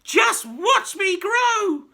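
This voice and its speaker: A man voicing a shrieking, boastful old grandmother with a screechy voice, who shouts and cackles. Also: very high-pitched, expressive, extremely slow, creaky, pitched above the performer's own voice, exaggerated voice. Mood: gleeful. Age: older.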